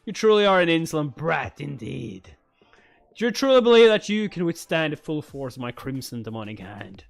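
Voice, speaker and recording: A man's voice: fairly high pitch, sing-song, moderate pace, vocal fry, soft background music, voice pushed down. A man voicing a pompous martial-arts villain with grandiose, formal menace in a webcomic read-along. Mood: scornful.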